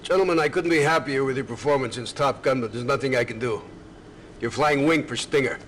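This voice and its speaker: An actor playing a stern, seasoned naval air commander in a military game cutscene. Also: male voice, mid-range voice, brisk, a bit bright, lightly acted. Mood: stern, firm. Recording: long hall reverb, faint noise.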